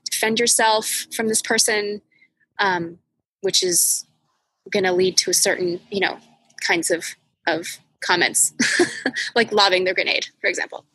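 A woman's voice: mid-range voice, measured pace, long pauses, pushed and bright, husky, low-quality recording. A woman hosting a casual, reflective chat, thoughtful and searching for words. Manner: thoughtful, many fillers and restarts.

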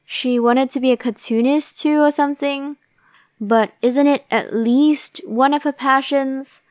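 A woman hosting a podcast, recapping a drama in a casual, thoughtful way, a little raspy and tired late at night. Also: high-pitched, steady tone, unhurried, darkish tone, dry recording. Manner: thoughtful, musing. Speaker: podcast host.

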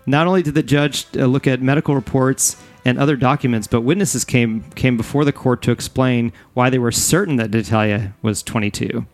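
A man with a medium-pitched voice hosts a podcast, reading and retelling news items casually. Faint music sits behind him. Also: brisk, few pauses. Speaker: podcast host. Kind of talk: casual news-story banter.

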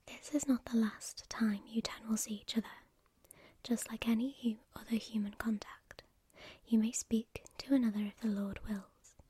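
Solemn male angel messenger, as a woman whispers him, calm and commanding in a breathy, husky hush that sits a little high. He speaks in measured, preaching tones, mellow and soft-edged, right up close in a dry space with no room sound. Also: no echo. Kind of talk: whispered storytelling.

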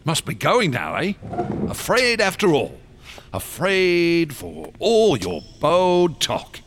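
An actor in a radio drama playing a paranoid, menacing wax sculptor, with a gravelly voice and a sneering, theatrical, taunting delivery. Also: male voice, a little high, bright, forceful tone, exaggerated voice.

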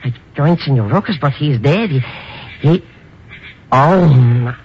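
An actor playing a nervous, whining, cowardly comic sidekick in a 1950s radio drama, stammering and grumbling. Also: male voice, fairly high pitch, animated, measured pace, heavy background noise. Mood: nervous, startled. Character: jittery, whining, comic small-time sidekick, a cowardly man. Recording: dull vintage radio recording with music.

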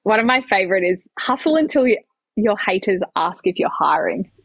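An upbeat, chatty woman talking as a guest on a casual podcast. Her voice is a little husky and breathy. The sound is dry and close, with no room echo. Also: mid-range voice, lively intonation, fairly quick. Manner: chatty, upbeat, filler-heavy. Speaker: podcast guest, small business owner.

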